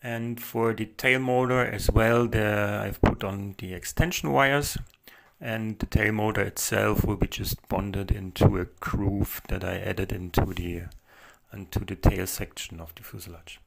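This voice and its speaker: A man narrating a model helicopter build in a relaxed voice-over, pausing often with fillers. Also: on the low side, creaky, a little hiss or hum. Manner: hesitant, many fillers, soft-spoken. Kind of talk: casual build walkthrough voice-over.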